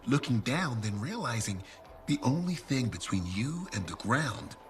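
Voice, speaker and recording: An actor playing a showy, self-deprecating merchant, chatty and theatrical, in video game dialogue. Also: male voice, mid-range voice, sing-song, unhurried.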